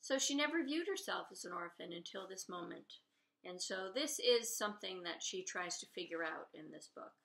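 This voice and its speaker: A woman's voice: fairly low pitch, lively intonation, normal speed, creaky, fairly bright tone. A woman author reading her children's novel aloud expressively, with informal asides. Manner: openly fond of the characters. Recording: roomy, echoey room sound.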